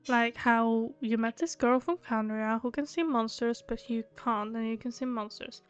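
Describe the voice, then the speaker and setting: A woman's voice: fairly high pitch, fairly even intonation, normal speed, clean tone, highs cut off. A woman streaming a game playthrough, reading dialogue aloud and reacting casually. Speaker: gaming streamer.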